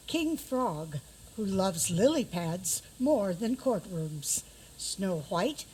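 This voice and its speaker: A woman's voice: mid-range voice, dark tone, low-quality recording. A woman narrating a scripted fairy tale introduction for a radio theater play. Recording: faint background noise.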